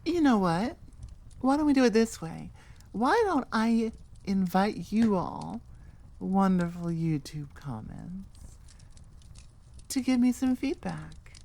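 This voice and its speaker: A woman's voice: mid-range voice, darkish tone. A woman who creates audio roleplays, chatting informally and musing aloud in a rambling, self-deprecating way. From born in England, moved to the US. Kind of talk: casual rambling outro chat.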